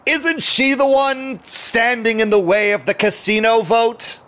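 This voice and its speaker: An actor playing a smooth, shady vampire businessman in an audio drama, speaking in a measured, self-satisfied, commanding way. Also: extremely high pitch, pushed and bright, heavily acted. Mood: polite, probing.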